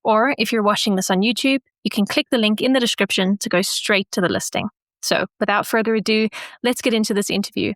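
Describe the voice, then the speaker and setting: A woman hosting a business podcast, reading a scripted intro and asking interview questions in a clear, measured way. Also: mid-range voice, no echo. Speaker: podcast host.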